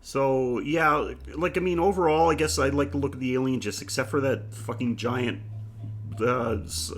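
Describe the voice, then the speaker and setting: A man with a mid-pitched voice talks casually as a podcast co-host. He gives his movie opinions haltingly, searching for words and swearing freely. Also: a little hiss or hum. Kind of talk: casual chat, movie review.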